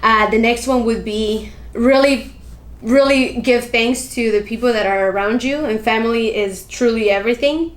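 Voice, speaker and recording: A woman from Colombia, a guest in an interview, speaking in an earnest, motivational way. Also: medium pitch. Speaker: guest, founder of a nonprofit. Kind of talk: interview answers. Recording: echoey room.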